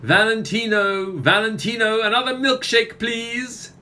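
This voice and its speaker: A man's voice: high voice, measured pace, bright, forceful tone. A man playing a pompous, self-important author with a wordy, theatrical manner in a comic radio play. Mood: grandly delighted.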